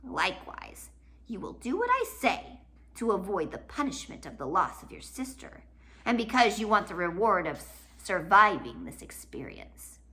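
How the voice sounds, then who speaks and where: Storybook villain, played by a woman: a scheming man, animated swings in pitch, drawn-out taunts, false kindness. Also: exaggerated voice. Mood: mock-kindly menace. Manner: mock-kindly, taunting, drawn-out delivery.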